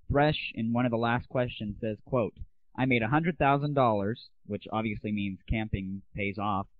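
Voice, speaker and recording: A man's voice: medium pitch, no echo. An American man hosting an online audio show, ranting in a brash, sarcastic, mocking way. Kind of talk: irreverent solo show, ranting commentary. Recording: dry, band-limited lo-fi voice.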